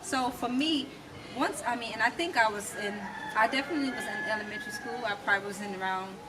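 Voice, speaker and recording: An American woman telling her personal story as an interview guest, emphatic and reflective, in short deliberate phrases. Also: on the high side. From United States. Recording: distant mic, clearly noisy background.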